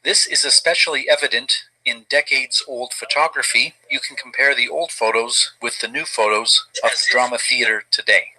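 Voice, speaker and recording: A man reading scripted documentary narration in a flat, measured way. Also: mid-range voice, poor audio.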